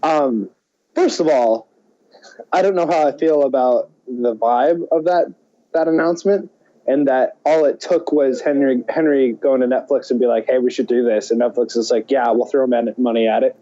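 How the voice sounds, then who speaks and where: Lo-fi sound. Male co-host, medium pitch, halting conversational delivery. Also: mellow. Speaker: podcast co-host. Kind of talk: casual chat. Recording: dull lo-fi audio.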